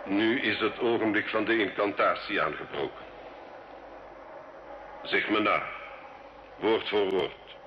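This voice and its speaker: A male actor playing a cunning, scornful sorcerer and alchemist, solemn, measured and theatrical, in an old radio drama. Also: lowish voice. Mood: solemn, commanding. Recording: dull, echoey, hissy old radio recording.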